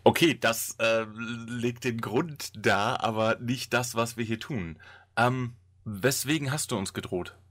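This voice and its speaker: A man's voice: mid-range voice, a little slow, fairly bright tone. A man hosting a casual podcast chat with a wry, mock-dramatic way of talking. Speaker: podcast host.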